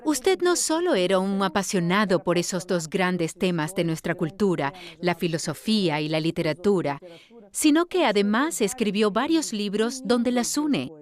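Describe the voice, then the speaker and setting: A woman dubbing a female interviewer, asking clear, poised questions in a composed way. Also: medium pitch, lively intonation, moderate pace, natural voice. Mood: attentive. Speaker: dub voice of an interviewer. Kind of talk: voice-over dub of an interview.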